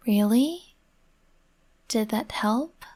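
A woman whispering softly and soothingly, speaking as a comforting partner in a roleplay. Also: fairly high pitch, expressive, very slow. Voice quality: breathy.

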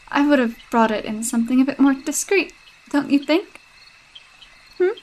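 A woman's voice: high-pitched, expressive, normal speed, slight background noise, poor audio. A young woman voicing a possessive, jealous girlfriend with a sinister streak, sweet-talking and coaxing in a roleplay audio drama. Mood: creepy menacing. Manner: sweet-talking, coaxing, with unsettling laughs and hushed asides.

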